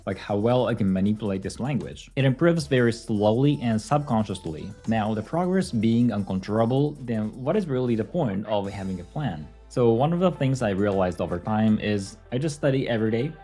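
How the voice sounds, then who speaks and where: A young Japanese man, speaking English as a second language, calmly narrating a scripted video about his language learning. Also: fairly low pitch, a little fast, hardly any pauses, husky, faint music behind.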